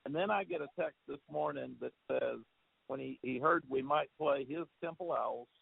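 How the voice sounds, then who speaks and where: A man's voice: medium pitch, normal speed, vocal fry, husky, dry recording. A man who is a football head coach, answering questions on a press conference call in a rambling way, often repeating words and saying "uh". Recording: phone line.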